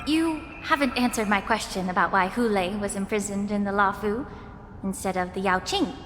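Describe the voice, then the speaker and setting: A woman's voice: mid-range voice, normal speed, a bit bright, long reverb tail, slight background noise, natural voice. A woman voicing a composed, resolute young female warrior in a video game, speaking seriously and precisely. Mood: serious, analytical. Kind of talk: scripted video game dialogue.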